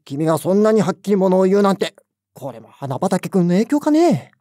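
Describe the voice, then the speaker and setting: A man's voice: sits high, sing-song, fairly quick, warm tone, no echo, studio quality. An actor playing a stern middle-aged male section manager who scolds his employee in a paternal way. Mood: surprised. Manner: scolding, paternal boss talk.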